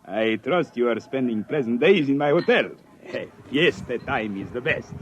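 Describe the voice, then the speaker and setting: An actor playing an eager, deferential hotel keeper with a Mexican accent in a 1950s radio drama. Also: male voice, mid-range voice, a little slow, a little hiss or hum, lightly acted. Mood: courteous. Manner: fussy, obliging, excitable. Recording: dull vintage radio recording.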